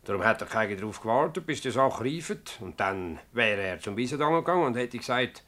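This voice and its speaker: A man's voice: medium pitch, lively intonation, a bit bright, lightly acted. A man acting an agitated, evasive village mayor in a radio play, hedging and emphatic, with a Swiss German accent. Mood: insinuating. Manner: hedging, emphatic, halting when pressed. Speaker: actor in a dialect radio play. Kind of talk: dialect radio drama acting.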